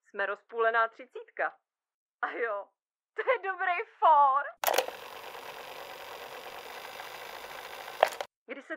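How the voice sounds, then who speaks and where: An actress playing a frazzled, blunt surgeon of about thirty in a comic audio drama, colloquial, sarcastic and exasperated. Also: female voice, on the high side, bright, forceful tone, dull audio. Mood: bickering, sarcastic. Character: frazzled, blunt thirty-year-old woman surgeon split in two, bickering.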